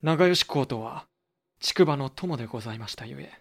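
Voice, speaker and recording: A man's voice: mid-range voice, expressive, moderate pace, vocal fry. A man playing a composed, guarded tea master who speaks formally and deferentially in a Japanese period audio drama. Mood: earnest, defensive.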